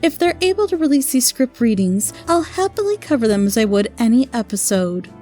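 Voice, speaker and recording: High-pitched, mellow woman reviewer. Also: big pitch swings, fairly quick, faint music behind. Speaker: host and reviewer of a monthly audio drama show. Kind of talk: scripted review narration.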